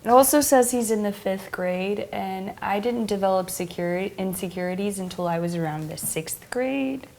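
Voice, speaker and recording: A woman's voice: medium pitch, moderate pace, gravelly, hardly any pauses, darkish tone, a little hiss or hum. A woman chatting casually as a guest painter, giving candid, opinionated reactions to pictures. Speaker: guest painter reviewing photographs. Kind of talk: casual chat. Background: faint music.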